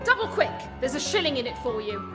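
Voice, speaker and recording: Radio comedy detective female actor: imperious, theatrical, high register, music underneath. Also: very animated, fast. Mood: urgent, commanding. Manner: theatrical, commanding, declamatory. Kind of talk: comic radio play acting. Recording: long hall reverb, music behind.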